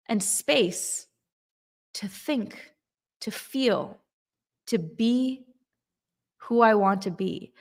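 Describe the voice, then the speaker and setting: A woman giving a slow, emphatic motivational talk on manifestation and gratitude. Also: on the high side, a bit bright. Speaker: manifestation coach.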